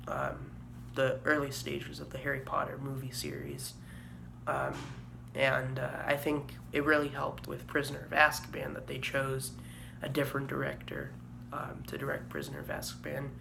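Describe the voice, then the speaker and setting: A young man vlogging casually, hoarse from a cold and a sore throat, rambling with frequent ums and uhs. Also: medium pitch, noisy background. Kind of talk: casual vlog review.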